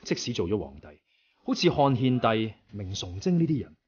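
A man's voice: fairly low pitch, sing-song, normal speed, a little mellow, slightly rough, lo-fi sound. A man acting a young, righteous martial rebel leader in a Cantonese radio drama, earnest and persuasive, with formal declamatory speech. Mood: persuasive, stirring.

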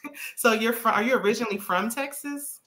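A woman's voice: a little high, brisk, fairly bright tone, slight background noise. A woman hosting a casual live-stream interview over a video call, chatty and playful, laughing often and using casual slang. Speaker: host of a live-stream show. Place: indoor living room.

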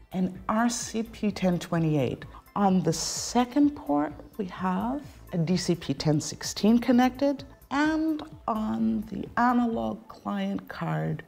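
A middle-aged woman giving scripted instructional narration as a tutorial presenter, enunciating clearly step by step. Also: mid-range voice, darkish tone. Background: background music.

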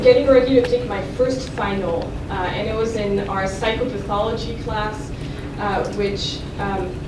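A young woman from France, a native French speaker, giving a chatty, self-deprecating lecture at a podium, with many fillers and restarts. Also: mid-range voice. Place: lecture hall with audience. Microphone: podium microphone heard from a distance. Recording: echoey room from far off, noisy.

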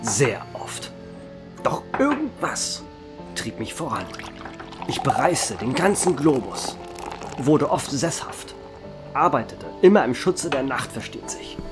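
A man playing a centuries-old vampire who runs a bar, telling his story in a calm, measured way. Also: medium pitch. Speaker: narrator and bar owner. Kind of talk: fan audio drama narration. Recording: music bed under the voice.

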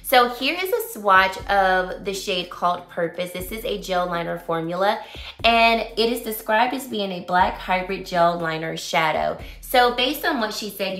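A chatty, upbeat woman in her forties, her voice a touch raspy as it comes back. She sits a little low for a woman. A roomy echo hangs around her words in a small home room. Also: pushed and bright. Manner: chatty and upbeat, detailed product talk. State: slightly raspy from losing her voice. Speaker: beauty vlogger. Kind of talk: casual makeup review.

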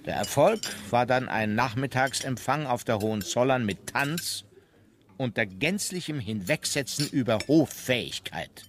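An actor playing a disapproving member of the Kaiser's entourage, reading memoirs in a measured, formal way. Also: male voice, mid-range voice, lively intonation, lightly acted. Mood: dry, reporting.

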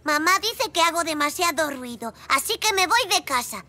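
An actor voicing a curious, playful five-year-old zebra boy in a cartoon dub, with a childlike, expressive delivery. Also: high-pitched, normal speed, a little hiss or hum, full character voice. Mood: determined, playful. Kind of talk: Spanish-dubbed preschool cartoon acting.

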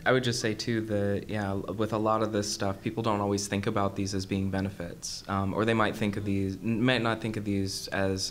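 A man with a fairly low voice talks through ideas on a convention panel, measured and pausing often. Faint hiss from the conference room sits under his speech. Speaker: panel speaker, psychologist. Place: hotel conference room with audience.

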